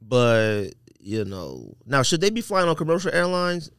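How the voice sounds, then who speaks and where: A man's voice: medium pitch, sing-song, measured pace, fairly bright tone, clean tone, no echo, clean studio sound. A man hosting a casual podcast chat about sneakers and sports, opinionated and slangy. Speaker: podcast host. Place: small podcast studio, indoors.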